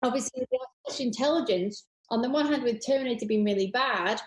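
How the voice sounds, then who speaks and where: A woman's voice: fairly high pitch, quick pace. A woman chatting casually on a panel, rambling and gushing with enthusiasm. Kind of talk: casual chat. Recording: roomy, echoey sound.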